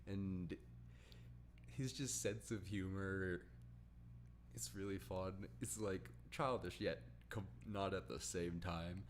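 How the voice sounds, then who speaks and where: Male podcast host, low voice, rambling enthusiastic fan talk, faint background noise. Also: fairly even intonation, slow. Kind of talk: casual podcast chat.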